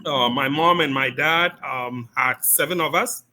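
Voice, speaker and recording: A pastor from Ghana, a man with a bright, forward-sounding voice. He answers interview questions at an even pace, laying out his story in orderly detail. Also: mid-range voice, a little slow, slight background noise. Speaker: pastor, guest.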